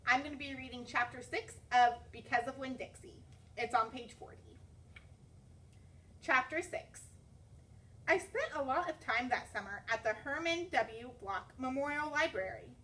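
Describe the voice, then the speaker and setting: Teacher lady doing a storytime read-aloud, high voice, super expressive, mic a bit far off. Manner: expressive, deliberate. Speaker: first grade teacher reading aloud.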